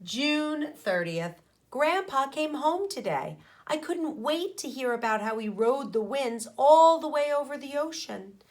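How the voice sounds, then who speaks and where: A woman teacher reads aloud for young pupils in a fairly high voice. Her pace is markedly slow and deliberate, with pauses between phrases. She explains and poses questions as she goes. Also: sing-song, darkish tone. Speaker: primary school teacher. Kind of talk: read-aloud of a picture book for children with teaching commentary.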